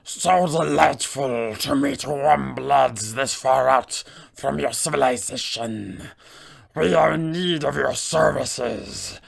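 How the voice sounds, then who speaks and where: Tabletop game villain: tiny alien mind flayer, heavy croaking fry, unhurried, cold, stiffly formal and ominous. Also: male voice, medium pitch, animated, heavily acted. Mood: formal, eerie. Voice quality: gravelly, creaky. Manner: slow, stilted, formal speech. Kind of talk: game villain roleplay monologue.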